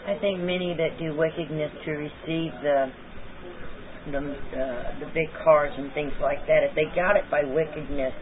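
A woman chatting casually in an online study group, with a loud, carrying voice and her mic set far off on her desk. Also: sits low, fairly even intonation, moderate pace. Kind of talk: casual chat. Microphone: mic set far off at the end of her desk. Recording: tinny online voice chat.

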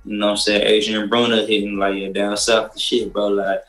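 A man's voice: lowish voice, monotone, a little fast, a bit bright. A man in his twenties, a rapper guest, talking casually on a couch with loose slang and lots of fillers, heard through a video call. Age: 20s. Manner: laid-back, loose slang, many fillers. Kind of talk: casual interview chat. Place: living room couch, indoors. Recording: roomy echo, faint music.

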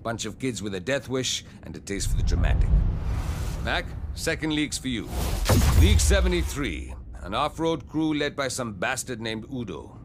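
Man acting game mechanic, car enthusiast, salty tipster, middle-pitched voice, noticeable ambient noise. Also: sing-song, moderate pace, close to an everyday voice. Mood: briefing. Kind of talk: video game cutscene acting.

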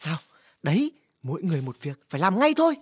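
A woman's voice: lowish voice, sing-song, quick pace. A woman playing an eager, hard-working young chick in a cartoon, with a chirpy, earnest manner. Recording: loud music behind the voice.

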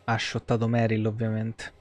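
A man's voice: lowish voice, completely flat delivery, normal speed. A man in his thirties doing live gameplay commentary, grumbling and foul-mouthed as he chats casually. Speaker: video game streamer. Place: indoor room at a streaming desk.

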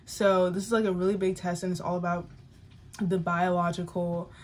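A young woman vlogging in a casual, excitable, chatty way, sometimes dropping into whispers. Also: lowish voice, normal speed. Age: young adult. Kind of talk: casual vlog chat.

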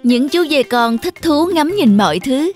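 High-voiced woman narrating a children's story. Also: animated, unhurried, faint music behind.

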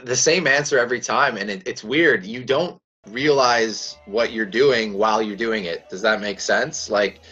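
A raspy young man from Canada talks in a fairly low voice, relaxed and rambling as he answers questions. The sound is muffled and lo-fi, as if carried over a video call from a small room at home. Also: moderate pace, few pauses, soft background music. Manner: relaxed, rambling, laughs easily. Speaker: country singer, guest. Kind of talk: casual interview chat. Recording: dull lo-fi video call audio.

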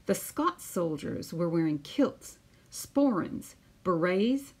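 A woman in her fifties, a teacher, speaking to pupils and reading a children's picture book aloud with deliberate, emphatic phrasing. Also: fairly low pitch, big pitch swings, a little mellow, slightly rough. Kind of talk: children's storybook read-aloud.

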